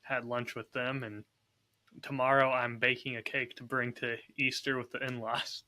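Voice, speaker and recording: A man's voice: mid-range voice, flat delivery, normal speed, pushed and bright. A man chatting casually and informally as a podcast co-host. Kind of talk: casual chat.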